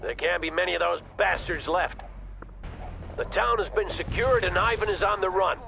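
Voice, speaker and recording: An actor playing a gruff, exasperated colonel giving curt orders by radio in a war video game. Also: male voice, sits high, normal speed. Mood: stern, businesslike. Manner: curt, authoritative. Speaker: military commander. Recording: battle noise over the voice. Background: gunfire, artillery and vehicles.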